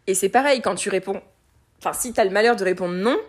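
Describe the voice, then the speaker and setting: A woman in her late twenties talking candidly in a casual conversation, lively, with many fillers and restarts. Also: mid-range voice, fast talker. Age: late 20s.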